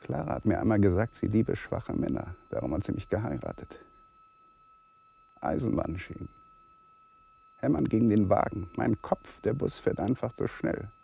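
Husky, feverish man thinking aloud. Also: medium pitch, wildly swooping pitch, moderate pace, long pauses, mellow, full character voice. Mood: brooding, tense, then dazed, haunted. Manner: fragmented, brooding, drifting into whispers. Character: anxious young male lawyer in feverish inner monologue. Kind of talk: radio play inner monologue.

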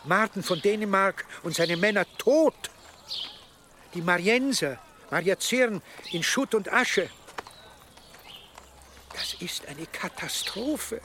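Radio drama prelate: haughty, formal, distinctly high voice, very measured pace, perturbed. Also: male voice, pushed and bright, a little hiss or hum, heavily acted. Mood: appalled, concerned. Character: haughty high-ranking medieval churchman, perturbed. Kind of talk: German radio drama acting. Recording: clear outdoor nature sounds behind.

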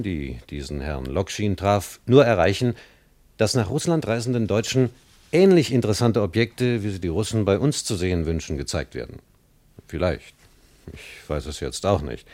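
A man's voice: lowish voice, darkish tone, no echo. A man playing a self-assured, wary, chummy West German diplomat who lectures in a German radio play. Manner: lecturing, chummy, punctuated with small tag questions.

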